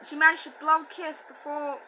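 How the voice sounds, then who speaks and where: A woman reading a novel aloud, halting and self-correcting, with a stutter and a slight lisp. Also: sits high, flat delivery, pushed and bright, slight background noise, poor audio. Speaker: audiobook reader. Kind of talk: amateur book reading.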